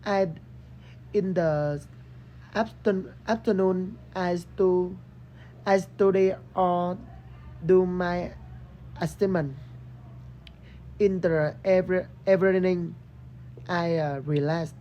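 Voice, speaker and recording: A young woman from Vietnam with a Vietnamese accent, giving a halting student presentation in English as a second language, often restarting words. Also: on the low side, fairly even intonation, lo-fi sound, slight background noise. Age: young adult. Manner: hesitant, broken word starts. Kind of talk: halting rehearsed English class presentation and interview.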